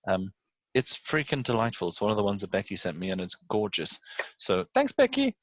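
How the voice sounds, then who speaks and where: A man with a fairly low voice hosts a relaxed podcast chat. He is playful and jokey as he talks to his listeners and friends. The sound is dry, with very little room in it. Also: fairly quick, no room sound, low-quality recording. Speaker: podcast host.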